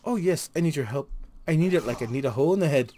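A man's voice: fairly high pitch, lively intonation, quick pace, warm tone, natural voice. A man voicing a brash, scornful female army sergeant from a game, with a sarcastic, lilting delivery. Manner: sarcastic, mock leprechaun lilt. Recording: noisy home recording.

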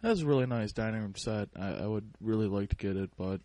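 A man's voice: on the low side, quick pace, warm tone. A man in a casual phone conversation, hesitant and often interjecting with uh-huh. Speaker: prank caller. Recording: phone prank call recording.

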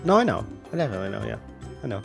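A man's voice: lowish voice, sing-song, racing, vocal fry, music in the background, heavily acted. A man playing a skeptical, know-it-all wizard student with dry asides in a Minecraft roleplay. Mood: dry, defensive.

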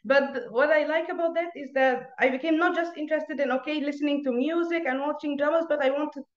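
A woman's voice: sits high, fairly even intonation, a little fast, reverberant, dull audio. A young woman from Egypt, a native Arabic speaker, chatting in a video-call interview, laughing often and restarting her sentences. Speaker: guest. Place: small room at home. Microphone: earphone mic on video call.

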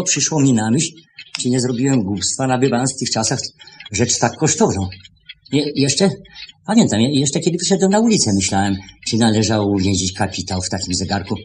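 An irritable male shopkeeper acted by a man in an old radio play, on a noisy vintage recording. He is suspicious and insistent, stammering over his words, his middling-pitched voice rising and falling freely. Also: darkish tone, echoey room, close to an everyday voice. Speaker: actor. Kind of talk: 1970s Polish radio play acting.

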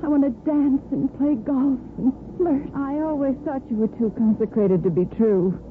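Actress as a coy young nurse, scratchy old radio. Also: female voice, high voice, normal speed, narrow, tinny audio, close to an everyday voice. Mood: restless, wistful. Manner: coy, teasing. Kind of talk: 1950s radio romantic serial acting. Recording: scratchy, phone-thin 1950s radio recording.

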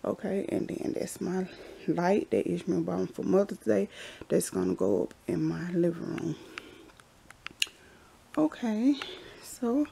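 Lady showing off her house on a handheld cam, slow easy talk, faint hiss behind. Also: fairly low pitch, creaky. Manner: chatty, relaxed, drops into whispers. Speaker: vlogger giving a house tour. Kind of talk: casual walkthrough narration. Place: basement and hallways of a house, indoors. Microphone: handheld camera held while walking.

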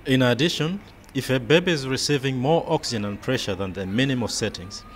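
A man narrating a scripted medical training film in a measured, step-by-step way. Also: medium pitch. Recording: faint background noise.

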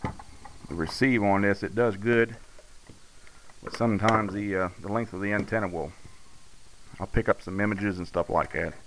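Middle-aged man, fairly low pitch, plain-spoken hobbyist, casual hands-on demo, clearly noisy camera audio with radio static. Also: steady tone. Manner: plain-spoken, folksy, halting while working. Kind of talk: casual hands-on demonstration talk. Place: indoors at a radio bench. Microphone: handheld camera's built-in mic. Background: radio receiver noise and static.

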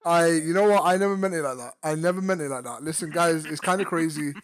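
A man's voice: on the high side, quick pace, no room sound. A man chatting casually and jokingly with friends in a VR game, often protesting in flustered, repeated phrases. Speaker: video creator playing social VR. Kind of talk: casual joking banter in a group. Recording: music behind the voice.

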